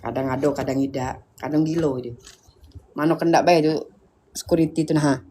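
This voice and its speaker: A woman's voice: low voice, steady tone, brisk, mellow, slight background noise. A woman from Indonesia with a Sundanese background chatting casually on a live stream, playfully switching between regional ways of speaking. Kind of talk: casual chat.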